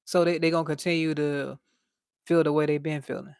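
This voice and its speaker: A man's voice: a little high, flat delivery, brisk, vocal fry, smooth, no room sound, clean studio sound. Slangy young man with a light voice. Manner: slangy, laid-back, repeats words. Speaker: livestream host reading chat. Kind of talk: casual livestream chat.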